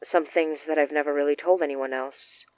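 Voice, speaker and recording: A woman acting in a fiction podcast as a shaken, earnest young woman who confides shyly in halting phrases. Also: sits low, steady tone, a little mellow, smooth, no echo, natural voice, poor audio. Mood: hesitant, confiding. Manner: hesitant, halting, confessional.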